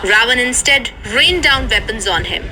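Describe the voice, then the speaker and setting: A woman's voice: fairly high pitch, animated, normal speed, background noise. A woman narrating a scripted story for children as a storyteller, in voice-over.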